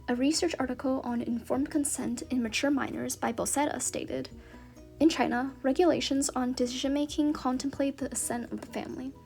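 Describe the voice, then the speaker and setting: High-voiced young woman reading an essay. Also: a little mellow. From Canada. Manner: measured, earnest reading. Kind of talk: read-aloud personal essay.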